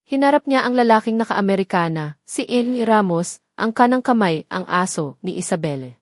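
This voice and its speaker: A woman reading a scripted romance story as its narrator, in a measured, dramatic storytelling manner. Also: mid-range voice, expressive, no echo, studio quality.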